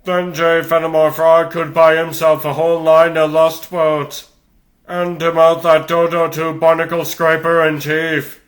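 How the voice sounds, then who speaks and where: A man's voice: on the high side, level tone, unhurried, lightly acted. Man as a crabby, scheming cartoon crab. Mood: smug scheming. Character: crabby, scheming male crab real-estate swindler. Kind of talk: comic book dub cartoon acting.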